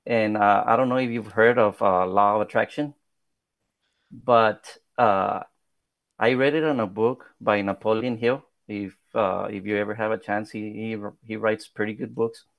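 A man's voice: lowish voice, fairly even intonation, moderate pace, gravelly, no echo. A man in his thirties from South Texas, a native Spanish speaker with a Spanish accent, talking in a podcast interview in a modest, hesitant way with many fillers and restarts. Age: 30s. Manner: modest, soft-spoken. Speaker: political candidate.

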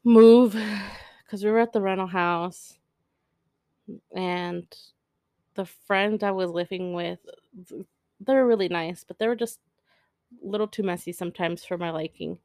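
A woman's voice: lowish voice, mellow, dry recording, studio quality. A young woman co-hosting a casual couple's podcast, telling stories candidly. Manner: candid, storytelling, quick laughs. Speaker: podcast co-host.

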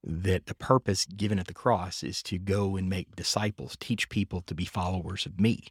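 A low-voiced man with a husky edge talks on a close, dry microphone. He hosts a faith podcast in an earnest, reflective way, linking one thought into the next. He sounds middle-aged and unhurried. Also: fairly even intonation, dry recording. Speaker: podcast host. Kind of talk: casual faith-based podcast conversation.

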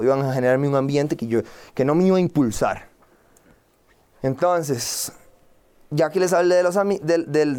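A man's voice: mid-range voice, a little mellow. A young man from Costa Rica with a Costa Rican accent, giving a motivational seminar talk to a live audience, asking rhetorical questions and acting out quoted voices. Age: young adult. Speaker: guest speaker, entrepreneur.

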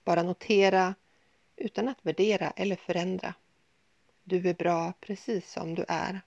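A woman guiding a yoga nidra body scan in a soothing, gentle, unhurried manner with pauses. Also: fairly low pitch, fairly even intonation, vocal fry, dry recording, lo-fi sound. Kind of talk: guided meditation, body scan.

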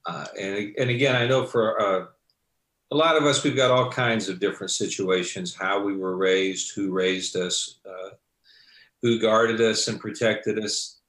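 A man with a low voice shares a heartfelt testimony, stopping often on uh and restarting. His voice rings in a roomy, echoing space. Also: a little slow, fairly bright tone. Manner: hesitant, frequent uh fillers. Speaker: church member sharing. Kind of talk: personal testimony.

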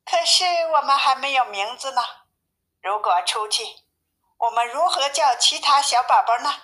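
A woman's voice: high voice, normal speed, pushed and bright, voice pushed up, poor audio. A woman voicing an obedient, eager little bear cub with childlike, dutiful replies in a children's storybook.

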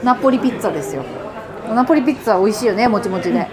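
A woman chatting casually as a podcast host, giggly and animated, recorded in a restaurant. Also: medium pitch, racing. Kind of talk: casual chat. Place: restaurant, recorded while eating. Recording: busy restaurant chatter behind. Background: crowd chatter.

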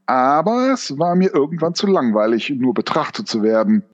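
A man's voice: mid-range voice, expressive, normal speed, muffled recording, close to an everyday voice. A man voicing a pedantic, philosophical burning giraffe in a surreal audio drama, hesitating like a professor as he explains. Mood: pensive, pedantic.

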